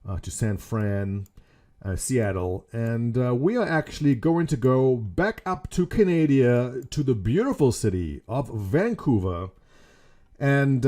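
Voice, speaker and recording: South African man, mellow rambling vlogger. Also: medium pitch. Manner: rambling, jokey, self-mocking. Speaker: gaming community leader. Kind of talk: casual webcam vlog. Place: small home room indoors.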